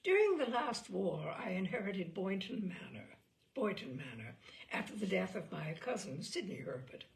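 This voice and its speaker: A woman reading humorous anecdotes aloud as a storyteller, with theatrical, deliberate delivery and emphasis on key words. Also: medium pitch, creaky, recorded from a distance.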